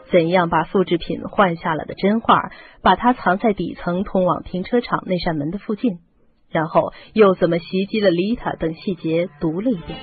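Woman narrating a radio play, tinny band, music behind her. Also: on the low side. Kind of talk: scripted radio drama narration. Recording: muffled phone-like radio recording.

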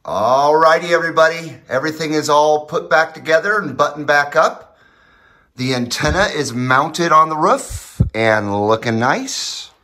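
Casual American male vlogger, folksy and informal, with very varied intonation and a bright edge. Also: fairly high pitch, expressive, a little slow. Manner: folksy, lightly swearing, informal. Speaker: host of a hobby radio channel. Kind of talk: casual project vlog narration. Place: home garage, indoors.

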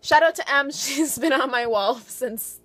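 A woman's voice: high-pitched, a little slow, a bit bright, dry recording. A twenty-year-old woman vlogger chatting casually to the camera in a rambling, self-deprecating way. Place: small bedroom in a basement. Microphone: camera at arm's length.

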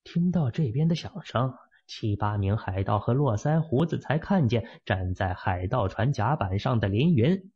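A man's voice: moderate pace, few pauses, husky, dull audio. A man narrating a fantasy novel as an audiobook, telling the story expressively. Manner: expressive storytelling with lively pitch swings.

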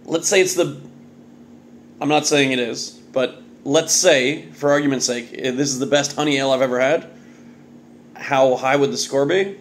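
A man's voice: medium pitch, moderate pace, slight background noise. A man in his thirties hosting a casual, off-the-cuff video review in a jokey, exclamatory way, recorded in a home kitchen at arm's length. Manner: animated, exclamatory, jokey delivery with muttered asides. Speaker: beer reviewer and vlog host. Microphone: phone or webcam at arm's length.

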